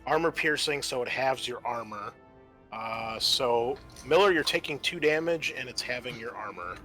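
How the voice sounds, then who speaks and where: A man's voice: mid-range voice, lively intonation, moderate pace, bright, forceful tone. A man acting as game master, narrating and making rulings in a casual, profane way. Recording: music behind the voice.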